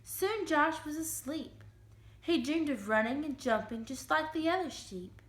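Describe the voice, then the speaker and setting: A woman giving an expressive dramatic reading of a children's storybook. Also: high voice, measured pace. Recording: roomy, echoing room sound.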